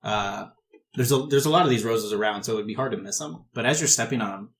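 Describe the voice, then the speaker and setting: A man's voice: fairly low pitch, fast, a bit bright. A man improvising descriptive narration as game master of a tabletop game.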